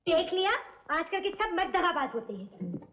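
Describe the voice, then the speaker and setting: Casting: bossy cynical scold, high-pitched, old film comedy with dull sound. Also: female voice, very animated, moderate pace, fairly bright tone, exaggerated voice. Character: bossy, scolding woman cynical about all men.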